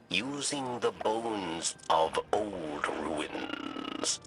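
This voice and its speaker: Very slow, deep-voiced alien ally. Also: male voice, exaggerated voice. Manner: halting, deliberate, oddly phrased speech. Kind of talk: video game dramatic dialogue. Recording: noisy game ambience behind voice.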